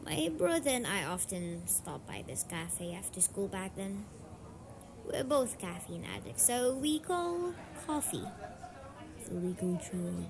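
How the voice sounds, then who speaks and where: Woman performing a tsundere college delinquent, unhurried, brash, slangy, teasing, playfully defensive, audio roleplay, busy chatter behind. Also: mid-range voice, big pitch swings. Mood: casual, chatty. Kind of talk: roleplay audio drama acting.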